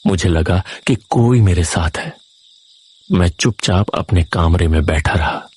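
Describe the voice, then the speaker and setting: A man with a low, husky voice tells a first-person horror story. He reads slowly and with suspense, as a dramatic scripted narrator. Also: darkish tone.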